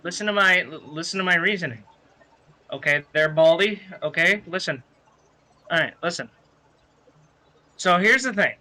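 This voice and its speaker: A man's voice: sits high, expressive, normal speed, long pauses, sharp tone. A man from the United States co-hosting a wrestling podcast, chatting in a brash, sweary, argumentative way. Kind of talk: casual heated panel chat.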